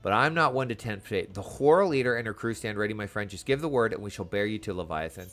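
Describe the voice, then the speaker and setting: A man's voice: fairly low pitch, lively intonation, quick pace, a bit bright. A man voicing a hearty, proud shipwright from a fantasy game, with bluff, theatrical, old-fashioned speech.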